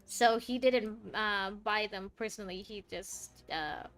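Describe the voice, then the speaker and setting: A woman's voice: mid-range voice, measured pace, fairly bright tone, smooth. A woman streaming a game, casually musing aloud and reacting to the dialogue.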